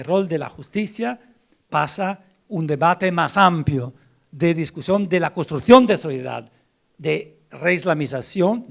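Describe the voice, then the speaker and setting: An Italian-accented man lecturing in a language not his own, stumbling and repeating words as he looks for them. His voice is slightly high for a man and gravelly with fry, and he punches key points with sudden lifts. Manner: halting, many repeated words and restarts, searching for Spanish words; animated, rising emphasis on key points. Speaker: lecturer on Islamic law. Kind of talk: academic lecture.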